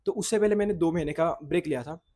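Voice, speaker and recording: A young man with a slightly husky, somewhat high voice, speaking in a loose, rambling vlog style. His first language is Marathi, and he often loses his thread and restarts sentences while talking to camera. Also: fast, warm tone. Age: young adult. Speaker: content creator. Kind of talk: casual vlog talk to camera. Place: outdoors in the sun, at a boys' hostel.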